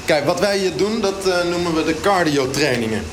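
A man playing a blunt, oblivious fitness coach who explains things haltingly and matter-of-factly in a mock radio interview. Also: a little high, lively intonation, a little hiss or hum, close to an everyday voice. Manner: halting, hesitant, matter-of-fact.

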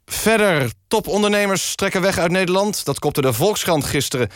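Deadpan male radio host, slightly high voice. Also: normal speed, dry recording, clean studio sound. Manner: deadpan, mock-serious. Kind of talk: deadpan satirical radio magazine presentation. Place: dry radio studio.

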